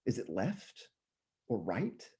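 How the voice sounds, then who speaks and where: A man in his thirties, slightly hoarse and often clearing his throat, reciting his own poems in a measured way and chatting loosely between them. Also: fairly low pitch, huge pitch swings, mellow. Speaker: poet reading his own work. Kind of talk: poetry reading with casual asides.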